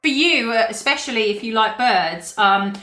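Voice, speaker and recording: A woman's voice: on the high side, animated, moderate pace, loud music behind the voice. A woman hosting a casual radio interview, enthusiastic, laughing often and using many fillers. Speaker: radio host. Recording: roomy echo.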